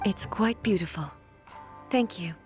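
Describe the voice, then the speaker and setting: A woman voicing a solemn, dignified immortal female shogun in a video game, speaking in a formal, measured way. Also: mid-range voice, a little mellow, soft background music, lightly acted. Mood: calm, gracious. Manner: formal, measured, stately speech.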